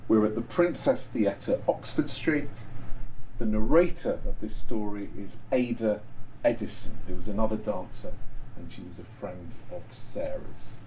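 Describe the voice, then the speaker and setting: A man's voice: fairly low pitch, a little slow, dark tone, recorded from a distance, slight background noise, poor audio. Man introducing his story, informative and hesitant. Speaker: writer introducing own story. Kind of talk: spoken introduction at a live reading.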